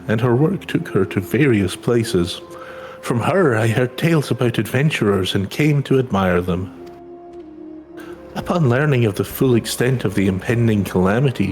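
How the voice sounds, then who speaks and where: A man's voice: medium pitch, darkish tone, lightly acted. A man voicing an insecure young adventurer from a fantasy game, hesitant, earnest and polite. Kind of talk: reading game dialogue aloud in character.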